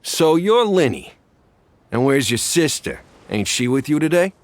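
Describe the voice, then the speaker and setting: A gruff, impatient street thug, talking in slangy threats with a swinging, sneering voice, set against a noisy game backdrop with clicks. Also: male voice, a little high, big pitch swings, a little slow. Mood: menacing. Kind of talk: video game dialogue.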